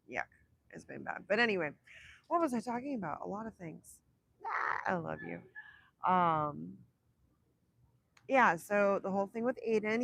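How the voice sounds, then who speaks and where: A young woman with a raspy voice from illness, chatting casually on a livestream, rambling and laughing between thoughts. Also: medium pitch, fairly bright tone. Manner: rambling, self-interrupting, laughs often. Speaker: livestream host. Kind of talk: casual live chat with viewers.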